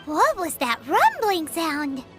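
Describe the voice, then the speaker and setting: Tiny squeaky fairy kid for a game, greedy n cheeky, slow bouncy talk, loud music behind. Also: female voice, big pitch swings, exaggerated voice. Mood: cheeky, eager. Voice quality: squeaky, childlike. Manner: refers to self in third person, cheeky. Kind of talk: English video game voice acting.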